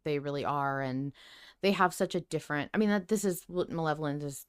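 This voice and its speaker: Low, clear-voiced woman thinking aloud. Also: fairly quick. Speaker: podcast co-host. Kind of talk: casual discussion and speculation about an audio drama.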